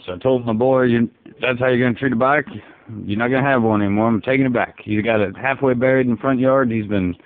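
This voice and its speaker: A man playing a folksy, easygoing, bewildered dad, rambling deadpan on a prank phone call. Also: medium pitch, quick pace, natural voice. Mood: polite, matter-of-fact. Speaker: prank caller. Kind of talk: casual phone prank, improvised. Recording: muffled narrow phone line.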